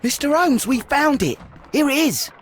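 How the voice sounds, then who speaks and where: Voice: sits high, big pitch swings, moderate pace. A performer playing an eager, cheeky Victorian street boy in a game. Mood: eager, excited. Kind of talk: scripted game dialogue.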